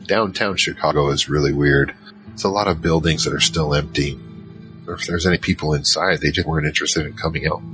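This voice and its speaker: A man's voice: extremely low pitch, fairly even intonation, a little fast, over music. A man playing a wary team member who narrates an audio drama in a rambling, wry, conversational way. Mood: wry storytelling. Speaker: narrator and lead character.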